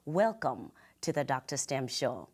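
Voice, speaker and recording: A woman's voice: a bass voice, big pitch swings, measured pace, slightly rough. A woman from Zimbabwe hosting a talk show, asking her guest curious questions with light laughter. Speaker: host.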